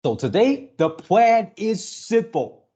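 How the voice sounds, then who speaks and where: A man in his early twenties talking casually to viewers as a channel host, in a halting way, often repeating and rephrasing himself. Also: high voice, big pitch swings, mellow, slightly rough. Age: early 20s. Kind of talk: casual reaction video talk to viewers.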